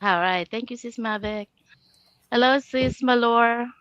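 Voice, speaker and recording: A woman's voice: on the high side, unhurried, a bit bright, clear, resonant tone, no echo, lo-fi sound. An older woman, a grandmother, hosting a live online panel chat and welcoming her guests, with a few restarts as she reads out the questions. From Canada.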